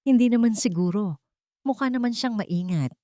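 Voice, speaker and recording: A woman's voice: a little high, moderate pace, dark tone, no echo, studio quality, pitched above the performer's own voice, natural voice. A woman voicing a worried, doubting well-off father in an audio storybook drama, uneasy and questioning. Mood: doubtful.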